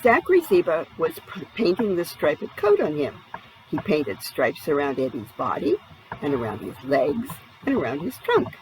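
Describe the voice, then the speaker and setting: Animated woman reading a children's story. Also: low voice, moderate pace, slight background noise, poor audio. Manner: dramatic, asks the listener questions. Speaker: storybook reader. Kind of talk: expressive children's story narration.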